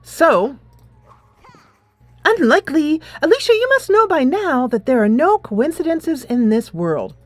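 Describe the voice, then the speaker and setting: A woman's voice: high voice, very animated, measured pace, dry recording, faint music behind, pitched above the performer's own voice. A theatrical schoolgirl sleuth in a video game, as voiced by a woman, pompous and formal. She declaims grandly in a raised, swooping voice, close and dry. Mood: grandly ominous.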